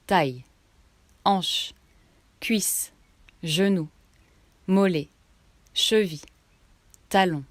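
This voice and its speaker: A woman leads a guided relaxation at a slow pace. She gives short instructions separated by pauses, in a middle-pitched voice. The sound is dry, with very little room around it. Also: dry recording. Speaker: yoga nidra meditation guide.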